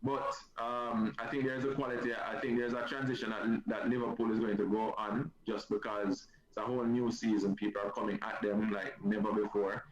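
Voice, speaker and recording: Low-voiced Jamaican coach on a muffled line. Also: male voice, little pitch movement. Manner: measured, hesitant with many fillers. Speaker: football coach, guest. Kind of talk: casual chat on a sports show. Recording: muffled echoey line, loud crowd behind.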